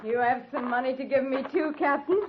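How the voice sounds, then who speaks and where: An actress playing a bitter, defiant frontier widow in a 1950s radio western, scornful and sardonic with rustic speech. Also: female voice, high voice, fairly quick, noisy background, natural voice. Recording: tinny, narrow 1950s radio recording.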